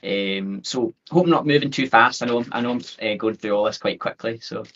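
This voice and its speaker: Fast-talking Scottish man on a call. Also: mid-range voice, a bit bright, slight background noise. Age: 30s. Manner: quick, upbeat, many fillers and restarts. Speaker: guest speaker. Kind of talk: webinar presentation. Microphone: video call. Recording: dull lo-fi call audio.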